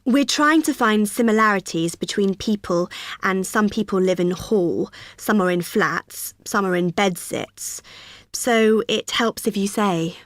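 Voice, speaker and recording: An actress playing a friendly student union receptionist who explains a form patiently in a scripted exam dialogue. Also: female voice, medium pitch, unhurried, no room sound, natural voice. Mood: helpful. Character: cheerful young female union helper.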